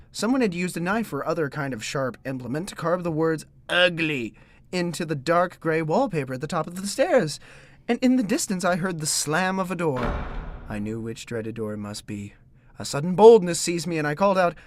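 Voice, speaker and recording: An actor playing a pompous, earnest gentleman decorator, telling his gothic comedy tale in a theatrical, melodramatic manner. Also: on the high side, a little hiss or hum. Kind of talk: theatrical first-person gothic comedy narration.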